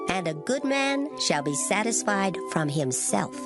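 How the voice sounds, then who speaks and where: A woman giving a dramatized reading of scripture in formal old English, measured and solemn. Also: mid-range voice, sing-song. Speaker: scripture reader. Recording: loud music behind the voice. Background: music.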